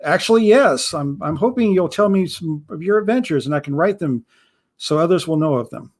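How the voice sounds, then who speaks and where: A man's voice: on the high side, animated, fairly quick. A man voicing a polite, nervous young male writer who stammers a little. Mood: earnest, nervous.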